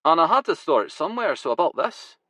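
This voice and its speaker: A man doing a raspy Glasgow grower, high and wild. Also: sing-song, fast talker, sharp tone, no room sound, exaggerated voice. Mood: proud. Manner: eager, wild-eyed, proud. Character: wiry older Glasgow man, excitable cannabis grower.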